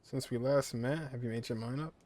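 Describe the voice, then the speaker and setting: A man's voice: mid-range voice, steady tone, a little fast, a little mellow. A man streaming a game, casually commenting and reading dialogue choices aloud. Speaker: gaming streamer.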